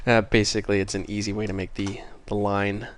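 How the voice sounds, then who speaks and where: A man with a fairly low, slightly congested voice hosts a casual programming tutorial. He talks informally and apologetically, thinking aloud as he works. Typing and mouse clicks are audible behind him. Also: flat delivery, fairly quick. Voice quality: slightly congested, stuffy nose. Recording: clear keyboard and mouse clicking noise.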